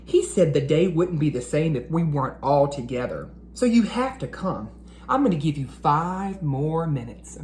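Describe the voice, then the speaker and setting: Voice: mid-range voice, expressive, moderate pace, a little mellow, roomy sound, slight background noise, lightly acted. A reader voicing a supportive, matter-of-fact schoolboy friend in a storybook, encouraging and firm. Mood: persuading.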